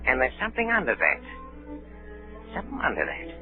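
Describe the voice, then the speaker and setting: An actor playing a wily, bullying, folksy old pirate leader in 1930s radio drama, theatrical, shifting between menace and coaxing. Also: male voice, a little high, huge pitch swings, fairly quick, vocal fry, exaggerated voice. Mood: confiding, wary. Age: older. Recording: scratchy 1930s radio broadcast, narrow band, music.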